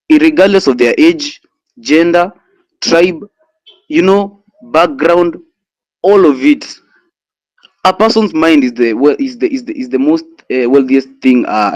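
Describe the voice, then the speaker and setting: A man's voice: mid-range voice, a little mellow, no echo, dull audio. A man in his twenties with a Kenyan accent gives an impassioned speech as a young activist, switching between English and Swahili with many "you know" fillers and restarts. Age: 20s.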